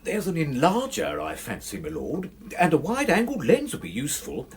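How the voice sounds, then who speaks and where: An actor playing a discreet English gentleman's manservant in a radio drama, formal, polite and deferential in manner. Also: a little high, huge pitch swings, moderate pace. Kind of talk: BBC radio drama acting.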